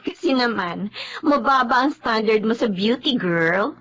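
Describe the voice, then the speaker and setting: An actress playing a vain, rude young office woman with a sharp tongue and a Filipino accent, haughty and dismissive in a TV drama. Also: a little high, animated, a little slow, slight background noise, poor audio. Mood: casual, smug.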